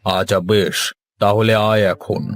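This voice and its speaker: A man's voice: lowish voice, level tone, unhurried, dry recording, soft background music, close to an everyday voice. A man voicing an authoritative village landlord who owns paddy fields, in a cartoon folk tale. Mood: commanding.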